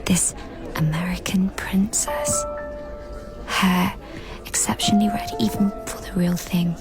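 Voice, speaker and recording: An actress playing a watchful, appraising young society woman in a radio drama, murmuring her private thoughts in breathy, deliberate fragments. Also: on the low side, fairly even intonation, whispered, close to an everyday voice. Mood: hushed, appraising. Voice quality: breathy, hushed. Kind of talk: radio drama inner monologue. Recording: music under the voice.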